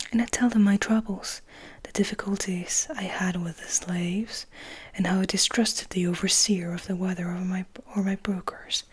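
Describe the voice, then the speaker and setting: Breathy woman whispering an ASMR story. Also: mid-range voice. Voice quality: breathy, mostly unvoiced whisper. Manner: gentle, unhurried storytelling. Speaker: ASMR host and reader. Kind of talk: soft whispered ASMR audiobook reading.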